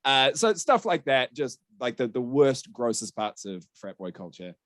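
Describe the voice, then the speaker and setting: A man's voice: medium pitch, sing-song, normal speed, a bit bright, dry recording, clean studio sound. A man hosting a gaming podcast, talking through the news in a candid, sweary, conversational way. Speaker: podcast host.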